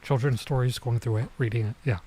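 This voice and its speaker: A man doing casual let's-play commentary as a streamer, thinking aloud in a halting way and mixing in Japanese phrases. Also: medium pitch, steady tone, mellow, a little hiss or hum.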